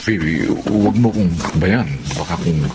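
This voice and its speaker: An actor with a Filipino accent playing an upright, uneasy husband in a radio drama, with faint noise behind. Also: male voice, deep voice, a little slow.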